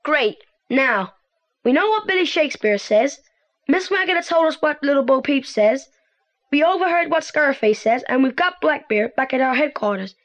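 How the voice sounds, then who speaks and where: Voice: high-pitched, animated, normal speed, a bit bright. A young performer playing a confident teenage boy detective in a children's audio play, eager and articulate.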